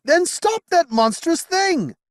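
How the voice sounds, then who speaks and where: A man plays a loyal knight at his wits' end, his voice pushed high and strained. The lines swing widely and sit dry and close. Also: huge pitch swings, normal speed, dry recording, exaggerated voice. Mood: desperate. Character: desperate one-armed male knight, fiercely loyal.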